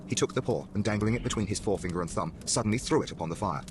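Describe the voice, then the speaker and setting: Deep male narrator reading a horror tale dramatically, really rapid, noisy ambience behind him.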